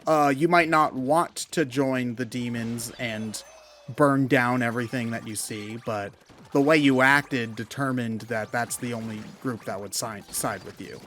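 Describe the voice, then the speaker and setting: A man chats as co-host of a gaming commentary. His delivery is casual and opinionated, building into animated rants with loose, casual swearing. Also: mid-range voice, unhurried, a little hiss or hum. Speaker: co-host of a video game commentary show.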